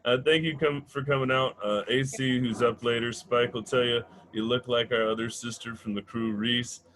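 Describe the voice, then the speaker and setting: A man's voice: medium pitch, fairly even intonation, normal speed, fairly bright tone. A man hosting a comedy show, chatting loosely with the audience and introducing the next act, swearing casually.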